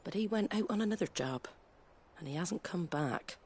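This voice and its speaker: An actress playing a plain-spoken, warm-hearted village landlady with a British accent in a fantasy video game, colloquial and matter-of-fact. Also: on the low side, expressive, normal speed, slightly rough. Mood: worried, grumbling.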